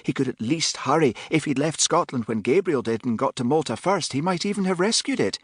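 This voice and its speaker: A forthright young woman from an audiobook, plain-spoken and matter-of-fact, with a low-voiced man lifting his voice high to play her. Her pitch moves in a lively way. The sound is dry and close. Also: fairly quick, slightly rough, no room sound. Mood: insistent.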